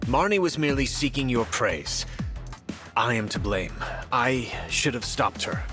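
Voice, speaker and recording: An actor playing a stern, dutiful knight in a video game, formal and solemn in delivery. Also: male voice, mid-range voice, lively intonation, a little slow, over music, close to an everyday voice. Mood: contrite. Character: grave, dutiful male knight, loyal and stern. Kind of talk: video game cutscene voice acting.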